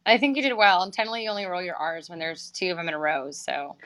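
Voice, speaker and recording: A woman's voice: fairly low pitch, expressive, fast, a bit bright. A woman, the guest of a casual chat, friendly and laughing easily.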